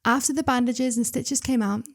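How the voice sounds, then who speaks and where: Young woman story reader with a slightly high voice, chatty and excitable, full of asides. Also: normal speed. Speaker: reader and channel host. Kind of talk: reading online stories aloud with commentary.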